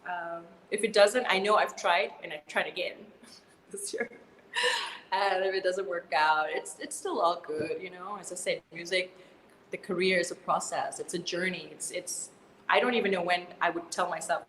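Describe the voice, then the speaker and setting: A woman's voice: fairly low pitch, normal speed, slight background noise. Chatty Malaysian woman in an echoey room. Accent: Malaysian English. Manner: animated, laughs often, many "you know" fillers. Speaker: singer guest. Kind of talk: casual interview chat.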